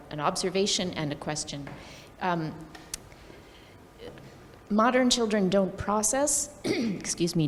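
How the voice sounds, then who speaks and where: Woman with a low voice asking a careful academic question, pauses a lot, echoey hall, bit of noise. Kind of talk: conference question and commentary.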